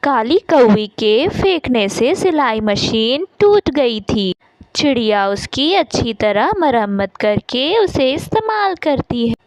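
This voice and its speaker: A woman's voice: fairly high pitch, sing-song, slow, dry recording, low-quality recording. A woman narrating a scripted children's cartoon story.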